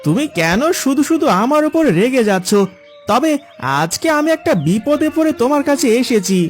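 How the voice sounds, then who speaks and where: A man's voice: extremely high pitch, sing-song, moderate pace, faint music behind. A man playing a self-serving grown son in a cartoon story, coaxing and pleading with his mother. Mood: pleading, wheedling. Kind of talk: Bengali cartoon moral-story acting.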